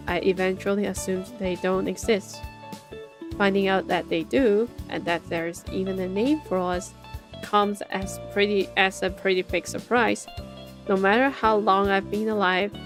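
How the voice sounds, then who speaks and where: Lady streamer reading a visual novel out loud, mid pitch, casual comments, faint music in the back. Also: fairly even intonation, a little slow. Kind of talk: narration with casual reactions. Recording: game music faintly behind the voice.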